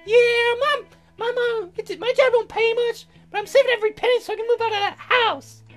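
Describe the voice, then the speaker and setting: Woman putting on a very high, flustered girlish heroine. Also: soft background music. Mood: earnest, eager. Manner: squeaky, halting, excitable. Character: eager, flustered young heroine, sweet and girlish.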